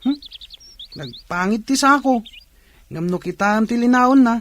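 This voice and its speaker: High-pitched, plaintive Filipino village schoolboy. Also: male voice, expressive, a little slow, fairly bright tone, full character voice. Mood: doubtful, fretful. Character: younger brother, poor village schoolboy, fretful and complaining. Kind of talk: Ilocano radio drama acting. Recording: noisy track with chirping birds.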